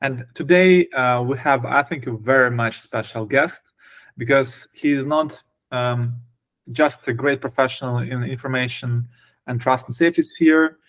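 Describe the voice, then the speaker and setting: A man's voice: mid-range voice, unhurried, fairly bright tone. A man hosting a podcast, introducing a guest and asking questions in a hesitant way with many fillers. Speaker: podcast host. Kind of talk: casual interview hosting.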